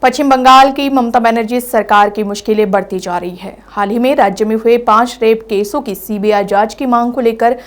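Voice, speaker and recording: A woman's voice: on the high side, fairly even intonation, normal speed, few pauses. A woman anchoring a news bulletin, reading from a script.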